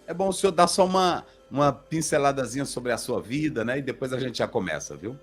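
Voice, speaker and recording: A man's voice: mid-range voice, expressive, fairly quick, faint music behind. A man, a pastor, hosting a live online talk and introducing his guest with earnest respect. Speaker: pastor and host.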